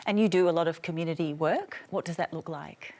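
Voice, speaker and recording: A woman with a fairly low voice hosts a studio discussion. She puts direct questions to her guests. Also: a little fast, a little mellow. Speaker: host.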